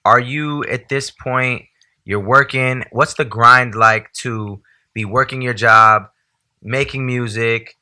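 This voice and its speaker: A man hosting a casual interview in a house, upbeat and hyping his guest with quick interjections. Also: medium pitch, fairly even intonation, bright, forceful tone, no room sound, studio quality. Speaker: podcast host. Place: indoors at a house.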